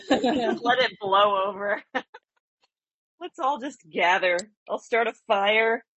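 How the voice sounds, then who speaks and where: A woman chats playfully as a tabletop player, slow and slightly husky. Her voice sounds dry and dull, as if from a lo-fi mic. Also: on the high side, fairly bright tone, no echo. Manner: playful, giggly. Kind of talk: casual game chat.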